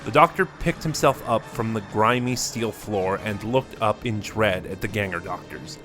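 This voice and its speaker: Guy reading a sci-fi story aloud, steady and measured, faint music behind. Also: fairly low pitch. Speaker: narrator.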